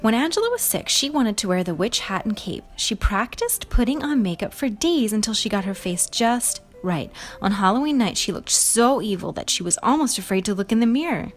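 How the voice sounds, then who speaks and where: High, animated woman storyteller. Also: brisk, few pauses, faint music behind. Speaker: storybook reader and show host. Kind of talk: expressive read-aloud for young children.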